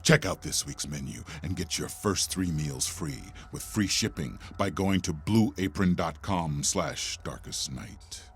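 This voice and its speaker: A man's voice: low voice, expressive, unhurried, few pauses, fairly bright tone, slight background noise. A brash, sarcastic man co-hosting a podcast, doing irreverent ad banter. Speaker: podcast co-host reading an ad.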